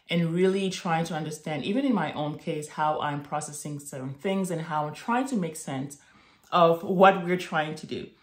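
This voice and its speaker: A woman in her thirties from Morocco, talking thoughtfully to camera in a home office, often checking in with "right?" and "okay?". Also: deep voice. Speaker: vlogger. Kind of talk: reflective casual monologue to camera. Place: home office with bookshelves, indoors. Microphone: webcam at desk distance. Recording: echoey room.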